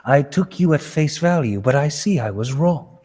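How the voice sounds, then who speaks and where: A man's voice: on the high side, expressive, mellow, breathy edge. A man acting a boastful, lustful king of winged Hawkmen in an amateur radio serial, grandiose and menacing. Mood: smug, leering. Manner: grandiose, slow, menacing delivery.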